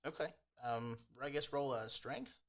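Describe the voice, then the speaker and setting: A man's voice: medium pitch, a little slow. A man working as game master, casually improvising the narration of a tabletop roleplaying game.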